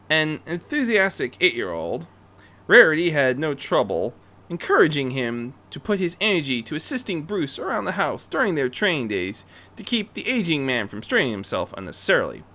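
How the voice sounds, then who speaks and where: Male narrator, scripted fiction reading, highly animated intonation, bright forceful tone, mid pitch. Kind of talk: expressive scripted story narration.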